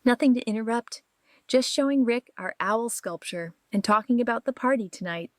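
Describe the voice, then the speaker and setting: A woman's voice: a little high, animated, moderate pace, dry recording, lightly acted. A woman playing a conflicted, defensive and vulnerable married mother in an audio drama. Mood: awkward.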